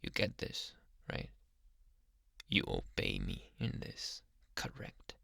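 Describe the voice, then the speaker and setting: Man playing a slow, creaky-voiced possessive yandere doctor. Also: very deep voice, wildly swooping pitch, bright, forceful tone, no echo, clean studio sound, heavily acted. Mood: controlling, coaxing. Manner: slow, breathy murmuring that slips in and out of whispers. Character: possessive, doting young male yandere doctor, sweetly menacing captor. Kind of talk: whispered ASMR boyfriend roleplay. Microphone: close microphone.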